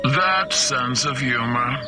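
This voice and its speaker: A man doing a dry, slow-spoken disguised agent. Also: medium pitch, lightly acted. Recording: game audio with music behind.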